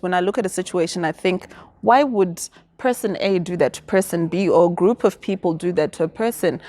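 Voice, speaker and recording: A middle-aged woman from Zimbabwe speaks with firm, emphatic confidence. Her voice sits on the low side and is recorded close and dry, with no room sound. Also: a little mellow, no room sound. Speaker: guest. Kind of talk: interview answers.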